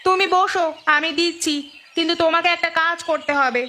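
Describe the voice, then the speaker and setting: A woman's voice: extremely high pitch, fairly quick, sharp tone, slight background noise. A woman voicing a sly, bossy village housewife in a cartoon story. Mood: coaxing, bossy. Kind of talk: cartoon voice acting.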